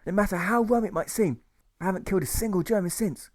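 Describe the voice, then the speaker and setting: A man's voice: quick pace, a little mellow, lightly acted. A man voicing an anguished, aggrieved soldier who pleads emphatically in an audiobook. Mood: pleading. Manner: high, imploring, emphatic.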